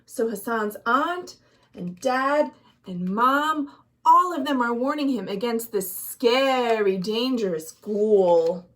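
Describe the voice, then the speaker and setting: A woman reading a children's story aloud in an expressive, dramatic way, as a storytime host. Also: fairly high pitch. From United States. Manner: expressive, dramatic, deliberate delivery with hushed phrases. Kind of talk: animated picture-book storytelling for children.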